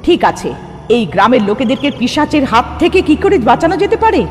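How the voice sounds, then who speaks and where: A woman's voice: high-pitched, sing-song, fast, darkish tone, slight background noise. A woman playing a determined young heroine in a dramatic cartoon dub, earnest and questioning. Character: determined young heroine seeking to save a village. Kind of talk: dramatic dubbed cartoon dialogue. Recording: long hall reverb, faint music behind.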